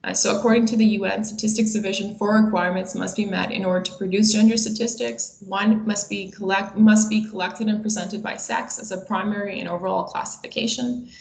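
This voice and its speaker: A woman in her twenties delivers a prepared webinar presentation. Her mid-pitched voice has a noticeable creak, and she explains each point in a measured way. The sound is roomy, with audible echo around her words. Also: fairly even intonation, a little mellow. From Bosnia and Herzegovina. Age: 20s.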